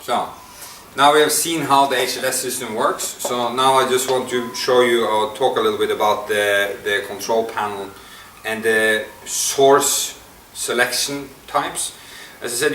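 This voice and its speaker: A man giving an unscripted product demonstration, explaining step by step in a halting, hesitant way. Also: mid-range voice, a bit bright. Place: indoor room at a table. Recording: echoey room, distant mic, faint noise.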